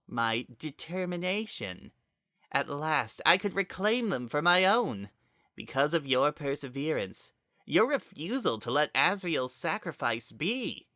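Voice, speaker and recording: A narrator voicing a menacing, fervent child spirit that wears a monster's skin, speaking in a slow, theatrical, hollow, coaxing monologue for a dramatic story reading. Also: medium pitch, pushed and bright, no echo, studio quality, voice pushed up, exaggerated voice. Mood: eerie wonder. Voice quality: maddened, hollow tone. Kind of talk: dramatic reading of fan fiction.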